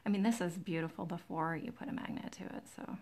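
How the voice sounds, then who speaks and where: Soft breathy lady doing a live nail demo, lowish crackly voice, nearly whispery, super chill, right up on the mic. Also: fast. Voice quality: low, soft, breathy voice, often near whisper. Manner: relaxed, soothing, quiet, close to the mic. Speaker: nail technician host of a live tutorial. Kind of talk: chatty live-stream demonstration, greeting viewers. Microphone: close to the camera's microphone.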